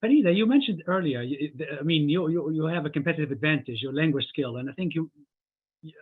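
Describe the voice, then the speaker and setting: A man's voice: fairly high pitch, lively intonation, fast talker, slightly rough. A man moderating a career panel, prompting speakers with questions and short affirmations. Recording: tinny phone-like line.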